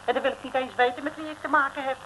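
A woman's voice: high voice, fairly even intonation, racing, fairly bright tone. An actress playing a sly, brusque old landlady in a vintage radio serial, with dry delivery. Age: older. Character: curt, sly old landlady serving a crime boss. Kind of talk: early-1960s radio serial acting. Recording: noisy vintage radio recording.

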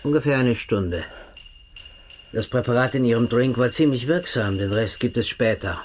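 An actor playing a cold, smug male secret-service agent in a radio play. He speaks calmly and mockingly, sometimes dropping to a hushed, sinister tone. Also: medium pitch, normal speed, a little hiss or hum, close to an everyday voice. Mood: coldly mocking. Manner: calm, mocking, sinister, drops to hushed tones.

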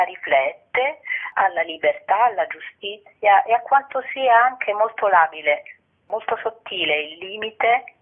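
Woman guest on radio interview over the phone, tinny, thoughtful, feels for words as she explains. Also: lowish voice. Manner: reflective, searching for words, frequent tag questions. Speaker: actress and playwright, interview guest.

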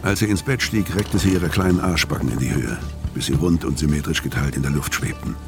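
A gruff, dying hitman in a noir radio play. His voice sits very low, and he talks in brusque, world-weary lines, bitter and short on patience. Music plays clearly behind him. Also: a little fast. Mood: brooding narration. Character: gruff terminally ill male hitman, bitter and curt. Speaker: first-person narrator and lead character. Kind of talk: noir radio drama dialogue and narration.

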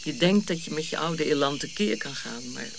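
An actress playing a proud, middle-aged colonial lady in a radio play, effusive and halting, easily wounded. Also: sits low, lively intonation, gravelly, slight background noise. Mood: frail, gentle. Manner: dramatic, halting, repeating herself.

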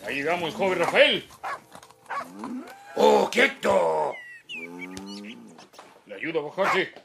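Lively, creaky-voiced rustic Mexican farmhand. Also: male voice, medium pitch, unhurried, background noise. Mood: hearty, urging. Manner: folksy, hearty calling to the animal. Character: rustic, chatty, friendly farmhand driving a horse. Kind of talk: radio drama acting.

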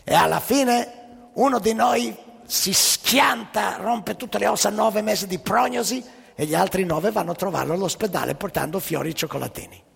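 Man putting on a blustering, husky Texan. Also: sits high, moderate pace, fairly bright tone, in a large hall, exaggerated voice. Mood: boastful. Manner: blustering, foreigner's broken grammar. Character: swaggering, boastful male Texan daredevil.

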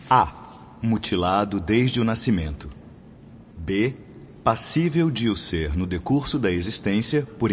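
An actor playing a calm, didactic male guide from the spirit world in a radio drama, speaking in a solemn, measured way. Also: fairly low pitch. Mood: instructive, courteous. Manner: solemn, instructive, measured enunciation. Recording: muffled, reverberant hall, noisy radio-drama recording.

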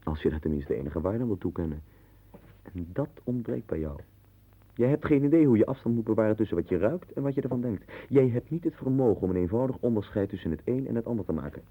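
Casting: blunt, pompous lecturer, very low rough voice, vintage radio drama, phone-thin sound. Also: male voice. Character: blunt, domineering friend, lecturing and faintly pompous. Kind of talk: 1960s Dutch radio play acting. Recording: tinny, band-limited 1960s radio recording.

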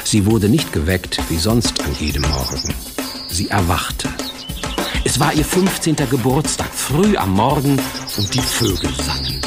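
An actor playing a quirky fairy-tale storyteller in a children's radio play, rambling and talking straight to the listener in a whimsical way. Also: male voice, on the low side, very animated, measured pace, loud music behind the voice. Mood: storytelling. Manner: whimsical, digressive, addressing the listener directly.

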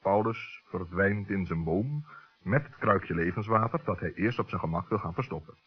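A man's voice: on the low side, slightly rough, dull audio. A man narrating a scripted children's story on an old radio recording.

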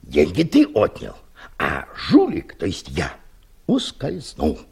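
Radio play role: scheming, idealistic old engineer, husky voice, very animated swings, emphatic. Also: male voice, deep voice, slow, heavily acted. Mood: excited, scheming. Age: older. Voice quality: husky, gravelly. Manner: emphatic, theatrical, plotting. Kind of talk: audio drama dialogue.

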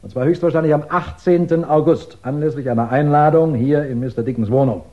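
Actor as methodical detective, higher-pitched male voice. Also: lightly acted. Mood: methodical, assured. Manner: measured, insistent, explanatory, courteous. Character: methodical English police investigator laying out his case. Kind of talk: 1960s German radio crime drama acting. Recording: muffled 1960s radio drama recording.

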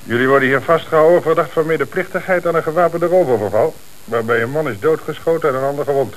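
A man acting a stern, shrewd police chief with a Netherlands Dutch accent and brisk authority, in a radio play. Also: fairly high pitch, lightly acted, poor audio. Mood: stern, probing. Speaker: actor. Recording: hissy old radio recording.

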